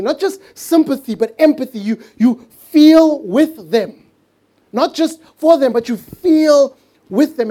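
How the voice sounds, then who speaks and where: A mellow, warm-toned man preaching a heartfelt sermon. He stretches out important words and sinks into soft, hushed moments for emphasis. English is a later-learned language for him. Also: very high-pitched. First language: not English. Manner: earnest, stretches key words slowly, drops to a hush for emphasis. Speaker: pastor.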